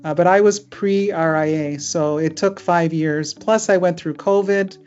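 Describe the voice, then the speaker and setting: Middle-aged woman host, low voice, chatty and informal, muffled video-call sound. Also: steady tone, measured pace, a little mellow. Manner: chatty, with frequent fillers and laughs. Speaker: immigration lawyer hosting a webinar. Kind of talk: casual webinar talk.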